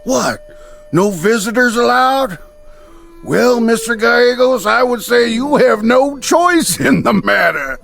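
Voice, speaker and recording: A gleefully cruel frontier peddler in a radio drama. His voice is high and very gravelly, swooping through slow, sing-song taunts like a salesman enjoying torment. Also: animated, fairly bright tone, soft background music, full character voice. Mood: nosy, jeering. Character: loud, gleefully cruel peddler in a Western town. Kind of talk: old-time radio drama acting.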